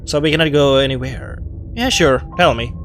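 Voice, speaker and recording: A man's voice: mid-range voice, moderate pace, a bit bright. A man commentating live as he plays a game, musing theatrically with short wry reactions. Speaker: game streamer playing along. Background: faint game music.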